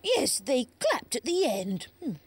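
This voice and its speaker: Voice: high-pitched, huge pitch swings, a little slow, breathy edge, exaggerated voice. A dopey, drawling dog puppet. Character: slow-witted friendly dog puppet. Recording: children's show with music underneath.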